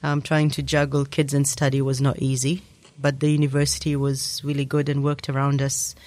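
A woman's voice: rumbling low, monotone, brisk, a little mellow, no room sound. A woman in her thirties, originally from Kenya, thoughtfully telling her story in a casual interview with frequent ums. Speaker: interview guest.

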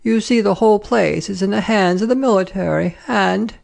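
A woman's voice: medium pitch, moderate pace, vocal fry, darkish tone, voice pushed up. A woman voicing a prim, nosy, smugly triumphant Frenchwoman from a story, with an insinuating, emphatic delivery. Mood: smugly solemn.

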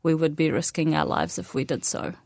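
Palestinian woman, native arabic speaker, young adult, low voice, soft-spoken, many pauses, dry studio sound. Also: steady tone, gravelly, dry recording. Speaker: interview guest. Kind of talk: radio interview conversation.

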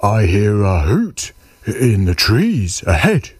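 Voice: low voice, huge pitch swings, slow, a little mellow, slightly rough, no echo, full character voice. A performer voicing a big, gullible storybook monster, lumbering and easily amused, stumbling over repeated words. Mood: amused, wondering. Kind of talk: storybook character voice.

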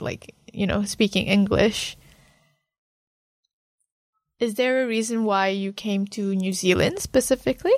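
A woman interviewing someone in a relaxed conversation, softly encouraging and often murmuring acknowledgements. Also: medium pitch, dry recording, clean studio sound. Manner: soft-spoken, encouraging with frequent murmured acknowledgements.

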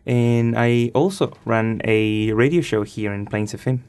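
A nineteen-year-old man from Chile giving casual, thoughtful interview answers as a radio guest, pausing hesitantly as he goes. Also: mid-range voice, darkish tone, clear, resonant tone.

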